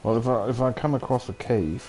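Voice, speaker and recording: A man's voice: medium pitch, brisk, over music. A man chatting casually as he livestreams a video game, often hesitant and thinking aloud. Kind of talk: casual rambling game stream commentary, chatting with viewers.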